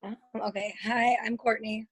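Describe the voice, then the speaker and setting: A woman on a team video call with a raspy, hoarse voice, talking in a casual, self-deprecating way. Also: a little high, flat delivery, normal speed, no room sound, poor audio. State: hoarse after working a night shift.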